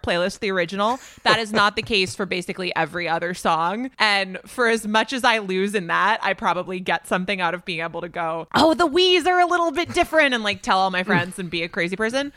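A young woman talking as a podcast guest in a casual discussion, chatty and quick with lots of fillers. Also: mid-range voice, sharp tone, no echo.

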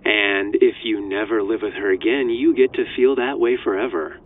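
Brief: man playing dry, teasing, protective older brother, low voice, tinny phone line, audio drama. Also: steady tone, moderate pace, slight background noise. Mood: earnest. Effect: phone call filter.

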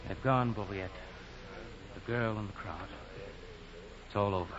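An actor playing a patient, subdued townsman in an old radio drama, hushed and restrained. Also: fairly low pitch, unhurried, very noisy, close to an everyday voice. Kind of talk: 1940s radio drama acting. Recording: muffled old radio with loud music.

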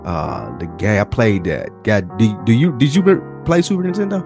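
A man's voice: on the low side, lively intonation, normal speed. A man co-hosting a podcast in casual, slangy conversation. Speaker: podcast co-host. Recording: music clearly playing behind the voice. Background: background music with guitar.

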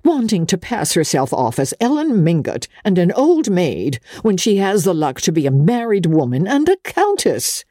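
Woman playing old society grande dame, imperious, brusque, scolding, husky, swooping pitch, classic novel reading. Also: wildly swooping pitch, moderate pace, few pauses, warm tone, dry recording, clean studio sound. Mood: impatient scolding.

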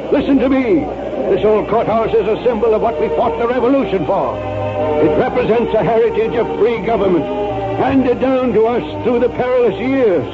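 A man's voice: high voice, animated, moderate pace. A man acting a proud, earnest old colonel in a 1940s radio drama, speaking in formal, impassioned oratory. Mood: impassioned pleading. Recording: dull 1940s radio recording, loud music.

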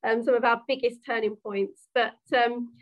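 A woman's voice: fairly high pitch, fairly even intonation, moderate pace, poor audio. A woman on a panel talking about leading teams, reflective and thinking aloud as she goes. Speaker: panelist, team leader. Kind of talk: panel discussion.

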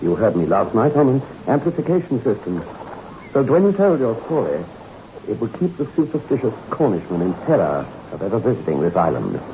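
An actor playing a reclusive, formal scientist professor in a 1960s radio suspense drama. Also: male voice, on the low side, big pitch swings, a little fast. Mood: grim, explaining. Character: reclusive male scientist professor who frightens visitors away. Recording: muffled, noisy old radio recording.

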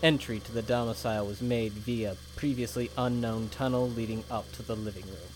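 An actor playing a cold, bureaucratic male investigator who dictates his report in a flat, clipped official manner, with a steady hum behind him. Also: on the low side, normal speed, natural voice, rough recording. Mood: dictating. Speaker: government agent. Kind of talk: audio drama acting.

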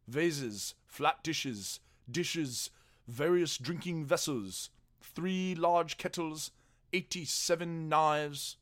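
A man acts as an ancient royal scribe in a dramatic reading. His voice sits a little high, and he recites the record formally, measuring out each item. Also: fairly bright tone. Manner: measured, formal, list-like delivery. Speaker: dramatic reader.

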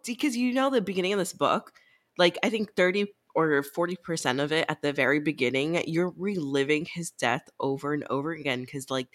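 A woman's voice: deep voice, fairly bright tone. A woman co-hosting a podcast in casual chat, opinionated and informal, with freely salty language. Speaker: podcast co-host. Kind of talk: casual chat about books.

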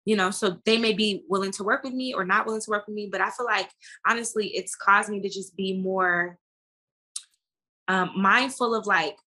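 Bright-voiced American woman chatting casually. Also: mid-range voice, fairly even intonation, normal speed. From United States. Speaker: interview guest. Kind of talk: casual conversational interview.

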